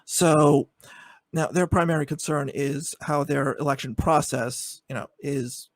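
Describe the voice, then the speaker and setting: A man's voice: a little high, fairly even intonation, unhurried, no room sound. A man debating on a video call, hesitant with many fillers but building into pointed arguments. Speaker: debate guest. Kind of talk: online debate.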